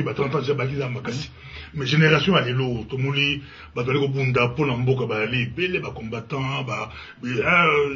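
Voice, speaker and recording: A man of mid pitch asks long, halting questions packed with filler words. His voice is distant and dulled, with a lo-fi tone. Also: a bit bright. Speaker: interviewer. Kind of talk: interview questions.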